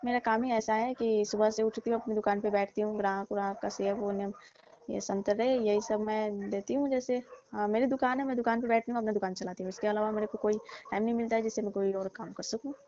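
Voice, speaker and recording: A woman's voice: mid-range voice, little pitch movement, fast, warm tone, close to an everyday voice. A woman playing a chatty, defensive fruit-seller auntie in a comic phone call, talking colloquially and repeating herself. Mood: chatty, resigned. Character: chatty, defensive middle-aged auntie who sells fruit. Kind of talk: staged comic phone conversation, casual chat. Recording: muffled lo-fi call recording with hiss.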